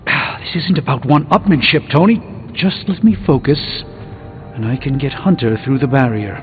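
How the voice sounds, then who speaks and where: A man's voice: mid-range voice, normal speed, lightly acted. A man playing a haughty, erudite middle-aged sorcerer in a video game, speaking formally with precise, pompous diction. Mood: irritated. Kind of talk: scripted video game acting. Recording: thin phone-like band, music behind.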